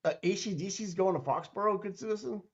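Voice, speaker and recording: Rambling man on dull lo-fi audio. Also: high-pitched. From Boston area. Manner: rambling, halting, swears casually. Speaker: co-host. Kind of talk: casual live-stream chat.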